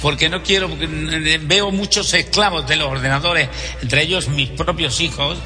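A man's voice: a little high, normal speed. An older man from Spain with a Spanish accent, a singer and voice teacher chatting effusively as a podcast guest. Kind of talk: casual radio chat. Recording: long hall reverb, faint music.

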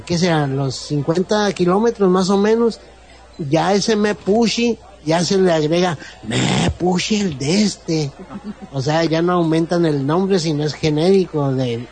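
Baja California Mexican man, somewhat high voice, long-winded explainer. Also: darkish tone. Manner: explanatory, drawn-out, acts out sayings. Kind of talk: casual group conversation.

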